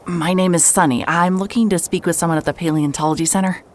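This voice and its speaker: A woman playing a hard-hearted, stubborn private investigator in an audio drama, narrating in a wry, terse, deadpan way. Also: lowish voice, fast, a little hiss or hum, close to an everyday voice. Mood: flustered, insistent. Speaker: narrator and lead actor.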